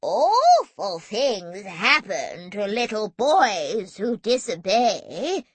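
A woman voicing a bossy old superhero granny in a storybook, quavering, drawn-out and placidly menacing. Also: mid-range voice, big pitch swings, husky, dry recording, exaggerated voice. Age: older.

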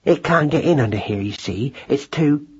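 A man plays a storybook mother mouse who rules her family. She is sharp-tongued, curt and protective, with a breathy, husky voice that swings widely in pitch. The audio is a little dull. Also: expressive, normal speed. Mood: hushed soothing. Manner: curt, icy to her husband, soothing to her child. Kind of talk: children's audiobook character voice.

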